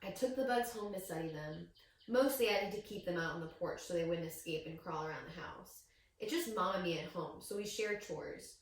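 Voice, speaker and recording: A woman reading a picture book aloud to young children as a teacher, with expressive storytelling and questions to her listeners. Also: fairly low pitch, fairly quick. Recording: very echoey room, distant microphone.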